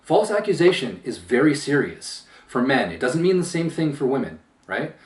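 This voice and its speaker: A man giving an emphatic opinion monologue as a channel host, with a powerful voice that swells louder and slow, deliberate phrasing with pauses. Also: medium pitch, big pitch swings. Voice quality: powerful voice that gets loud when upset. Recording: roomy echo, distant from mic.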